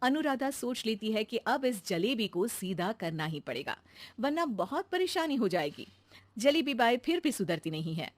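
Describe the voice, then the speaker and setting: A woman's voice: a little high, very animated, moderate pace, no echo. A woman narrating a comic cartoon story in a scripted storytelling style.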